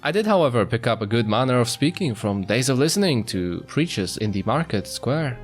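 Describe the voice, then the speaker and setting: Animated man reading a story aloud. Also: medium pitch, a little fast. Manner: expressive, stumbles over words. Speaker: streamer, narrator. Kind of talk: reading visual novel narration aloud. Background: music.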